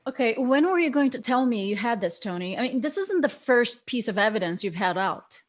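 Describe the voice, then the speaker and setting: A woman performs a wary police detective in a crime drama. Her voice is on the higher side, and she questions things with open doubt. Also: lively intonation, brisk. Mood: suspicious, annoyed. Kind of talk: dramatic screenplay table read.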